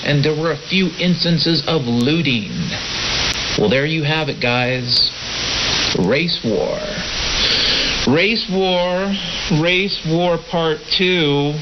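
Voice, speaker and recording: A man's voice: a little high, takes it slowly, lo-fi sound. An American man hosting a political radio show in an opinionated, sardonic manner, reading and commenting on news. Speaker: podcast and shortwave show host. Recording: hissy, noisy shortwave radio reception. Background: loud static hiss.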